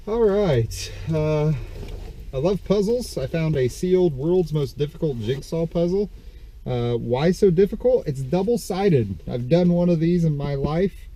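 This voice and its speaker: A man's voice: fairly high pitch, dark tone. A man rambling casually through a haul of estate sale finds, stuffed up and congested from a dust allergy, full of ums and self-corrections. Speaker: vlogger showing reseller finds. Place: parked car. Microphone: camera on the steering wheel.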